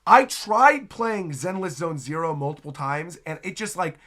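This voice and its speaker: A man's voice: a little high, normal speed, fairly bright tone. A man hosting a casual reaction video, chatty and exclamatory as he comments. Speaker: video host, streamer.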